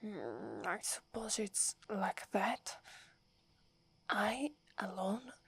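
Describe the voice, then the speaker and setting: A woman's voice: medium pitch, big pitch swings, extremely slow, vocal fry, fairly bright tone, breathy edge, no room sound, heavily acted. A woman from Italy with an Italian accent, whispering as a proud, wary young female werewolf who is prickly and defensive. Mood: grudging. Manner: haughty, grudging, defensive. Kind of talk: ASMR roleplay.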